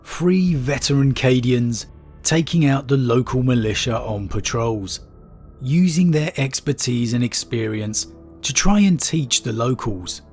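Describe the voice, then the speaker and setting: A man with a stuffy nose from a cold, hosting a lore channel and reading a wargame story aloud in a measured, steady storyteller's delivery. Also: mid-range voice, soft background music. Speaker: YouTube lore channel host.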